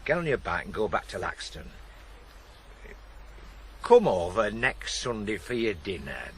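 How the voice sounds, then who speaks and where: A man's voice: fairly low pitch, very animated, unhurried. A man acting a weary, bitter middle-aged father in a radio drama, brooding and defiant. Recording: faintly noisy old radio broadcast.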